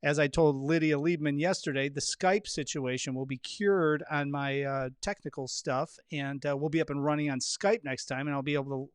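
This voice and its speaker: A man's voice: on the high side, steady tone, moderate pace, few pauses, clean tone, no echo, studio quality. A man hosting a podcast in a casual, conversational interview style. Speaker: podcast host.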